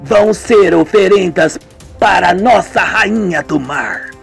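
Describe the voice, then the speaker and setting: A man voicing a cold female cult villager who states threats calmly and matter-of-factly. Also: on the low side, measured pace, vocal fry, husky, soft background music, heavily acted. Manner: calm, matter-of-fact menace.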